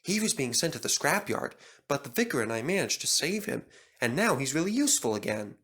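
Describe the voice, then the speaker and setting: A man's voice: a little high, big pitch swings, fairly quick, a bit bright. A man voicing a kindly, sensible steam engine in a storybook, speaking in a mild, reassuring way.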